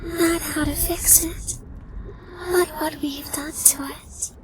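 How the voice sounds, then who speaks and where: A man voicing a smug, teasing young male succubus in a soft, silky, coaxing ASMR roleplay voice. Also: very high voice, steady tone, slow pace, noisy background, voice pushed up. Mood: smug bargaining.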